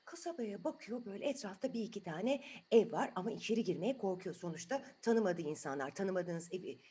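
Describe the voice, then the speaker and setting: A woman's voice: medium pitch, expressive, fairly quick, dark tone. A woman telling a story to children in an improvised, chatty way, asking the listeners questions as she goes.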